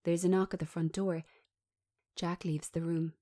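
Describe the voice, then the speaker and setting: Mellow, low-voiced woman narrator. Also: little pitch movement, normal speed. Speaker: narrator reading stage directions. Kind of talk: scripted narration.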